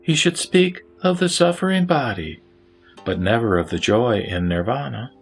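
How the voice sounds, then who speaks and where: A man playing a wise, ailing lay sage who teaches in a solemn, formal way in a scripture audio drama. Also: on the low side.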